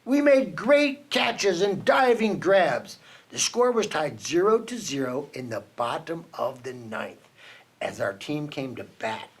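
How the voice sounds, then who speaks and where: A man reads a children's storybook aloud at an unhurried pace, his fairly high voice swinging widely as he builds suspense. He leans into dramatic pauses like a storyteller for young listeners. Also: lively intonation. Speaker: children's book reader for a team reading program. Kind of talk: reading a children's picture book aloud.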